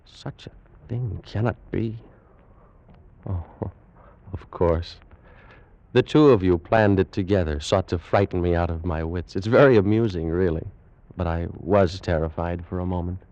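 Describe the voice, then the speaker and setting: An actor playing an anguished ancient Egyptian priest, weary of endless life, in grave, theatrical old-time radio drama style. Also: male voice, low-pitched, darkish tone, lo-fi sound. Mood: uneasy scorn. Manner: theatrical, grave, slow and brooding.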